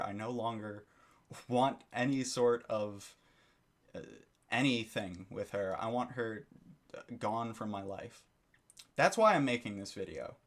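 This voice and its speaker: A man giving a critical commentary monologue for his video channel, lecturing and disapproving, often repeating his phrases. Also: lowish voice. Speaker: YouTube commentator.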